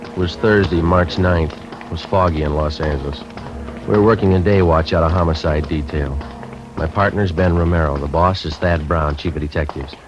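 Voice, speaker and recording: Actor as deadpan, low-voiced police detective, old radio. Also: male voice, normal speed, music in the background, lightly acted. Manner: clipped, deadpan, matter-of-fact. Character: male police detective sergeant, businesslike, terse. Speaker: lead actor and narrator. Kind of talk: 1950s radio drama acting. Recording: muffled, noisy old 1950 radio recording.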